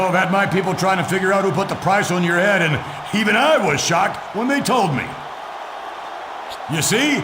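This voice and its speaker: High male voice, bombastic wrestling boss, forceful declamatory delivery, game cutscene, echoey. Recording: echoey arena with clear background noise.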